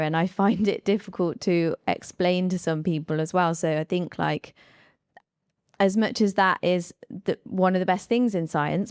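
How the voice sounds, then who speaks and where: A woman's voice: on the low side, a little mellow, clear, resonant tone, dry recording, clean studio sound. A woman moderating a live panel discussion, talking informally and often using "like" as she thinks aloud. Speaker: panel moderator, science researcher.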